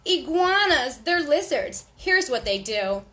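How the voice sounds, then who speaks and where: A woman with a high voice reads a picture book aloud to young children. Her delivery is slow and sing-song, and she pauses for small asides to the kids listening. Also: big pitch swings, fairly bright tone. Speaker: children's storytime host.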